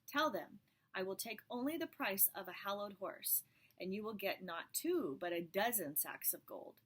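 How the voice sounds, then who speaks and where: A sly male horse with magic in him whispers into a man's ear, coaxing and then commanding, as a woman reading a storybook puts him on. The voice stays hushed and breathy, quietly insistent, never rising to a shout. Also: medium pitch, lively intonation, normal speed. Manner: whispering, persuasive.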